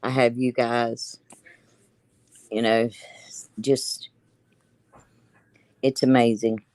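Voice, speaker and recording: A woman in a casual faith chat, giving affirming replies and quick interjections. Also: very deep voice, level tone, darkish tone, no echo, rough recording.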